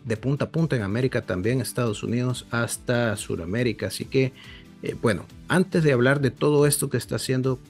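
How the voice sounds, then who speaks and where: A man's voice: mid-range voice, darkish tone. A man hosting a faith podcast, asking a priest questions in a deferential, hesitant way. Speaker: podcast host. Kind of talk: interview questions.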